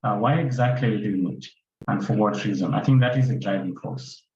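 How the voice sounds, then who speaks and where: A man on a panel giving conversational advice in a deliberate way, posing rhetorical questions as he goes. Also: lowish voice, a little mellow. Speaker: panelist, content creator. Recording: echoey, dull, faintly noisy online call.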